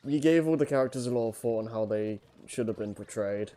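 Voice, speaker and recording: A man's voice: mid-range voice, brisk, dark tone. A man co-hosting a podcast in casual, conversational chat.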